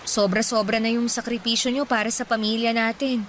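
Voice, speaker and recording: An actress playing a devoted young daughter, earnest and caring in a radio drama. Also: female voice, on the high side, flat delivery, a little fast, fairly bright tone, a little hiss or hum, natural voice. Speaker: voice actor.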